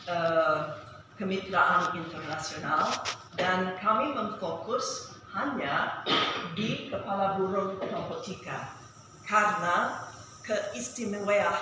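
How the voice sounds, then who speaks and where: A woman with a foreign, non-native accent giving a formal presentation as an organisation director, halting and careful, recorded at a distance in a noisy, echoing meeting room. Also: lowish voice. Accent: foreign, non-native Indonesian. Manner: halting, careful, searching for words. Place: large echoey indoor meeting room. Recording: very echoey hall, distant mic, noisy.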